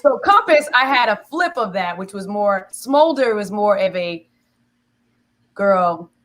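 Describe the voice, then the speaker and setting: A woman in her forties with a smoky, raspy voice, a singer-songwriter chatting casually in an interview and telling animated stories. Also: mid-range voice, unhurried. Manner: animated storyteller, laughs easily, acts out quoted friends.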